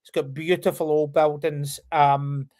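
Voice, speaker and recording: A man's voice: a little high, little pitch movement, measured pace, a little mellow. A man in his forties from near Glasgow, with an anglicised Scottish accent, talking casually as an interview guest, with lots of ums and easy laughs. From Scotland, near Glasgow. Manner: chatty, frequent ums, laughs easily. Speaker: guest, company founder.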